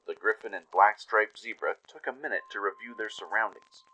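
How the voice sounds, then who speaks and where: A man's voice: on the low side, a little fast, bright, forceful tone, poor audio. A man giving dramatic scripted narration as the storyteller and announcer of a radio play.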